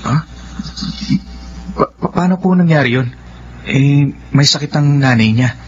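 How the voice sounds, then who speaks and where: A man plays a soft-hearted, respectful young Filipino man in a radio drama. His pitch is average. The recording is dull and faintly noisy. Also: unhurried, natural voice. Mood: concerned. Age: young adult. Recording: dull lo-fi audio with faint noise.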